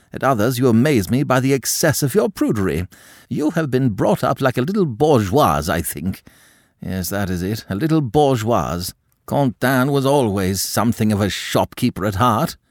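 Man doing a shrill scandalized old countess. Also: very animated, moderate pace, no echo, clean studio sound. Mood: indignant. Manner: shocked, scolding, high-pitched. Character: haughty prudish aristocratic older countess.

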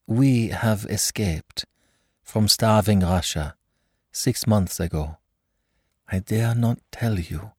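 A man's voice: lowish voice, slow, long pauses, breathy edge, dry recording, studio quality. Man voicing a gushing young émigré mother. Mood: anxious. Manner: gushing, mixes French phrases into English. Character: anxious, effusive young émigré mother, woman.

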